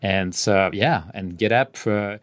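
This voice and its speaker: Low-voiced French-accented man, measured. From France. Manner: measured, often pausing mid-phrase, voice trailing off softly. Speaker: guest executive. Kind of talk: podcast interview answers.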